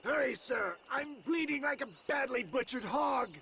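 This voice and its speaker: An actor playing a wounded, grandiloquent Old West swindler, florid and self-pitying, in a video game. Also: male voice, very high voice, normal speed, vocal fry. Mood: desperate, melodramatic. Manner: florid, melodramatic, theatrical. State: groaning in pain. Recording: noisy outdoor game ambience behind.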